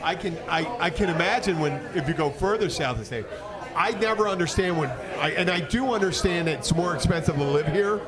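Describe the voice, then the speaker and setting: A man chatting casually as a podcast host, telling stories in an animated, rambling way. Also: high-pitched, fairly quick. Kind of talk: casual bar-side chat. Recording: loud crowd noise, outdoor urban setting. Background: loud crowd and outdoor bustle.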